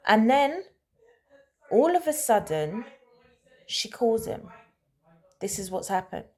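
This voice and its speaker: A woman telling a story in a casual, conversational way, animated and emphatic, often checking in with rhetorical questions. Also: fairly high pitch. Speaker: storyteller, host of a story-time series.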